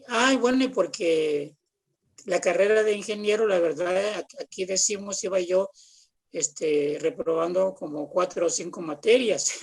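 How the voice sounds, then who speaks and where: Mexican man, seventies, chatty storyteller as interview guest, gravelly husky voice. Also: high voice. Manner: chatty storytelling with acted-out quotes. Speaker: guest theatre practitioner. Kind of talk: casual anecdotal interview talk.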